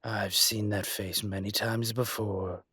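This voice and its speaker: A man playing a suave, teasing dragon mafia boss in a scripted romantic audio roleplay, speaking deliberately. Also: on the low side, flat delivery, pushed and bright, slightly rough, dry recording, studio quality, full character voice.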